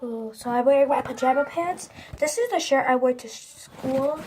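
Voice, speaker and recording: High-voiced girl with a stuffy cold. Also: normal speed, noisy background. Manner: rambling, many likes and restarts. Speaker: vlogger. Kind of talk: casual chat to camera. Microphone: phone.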